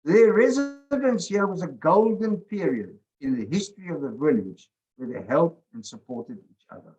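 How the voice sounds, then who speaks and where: A man reading a passage aloud in an expressive manner. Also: fairly high pitch, moderate pace, muffled recording. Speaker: speaker reading his article summary.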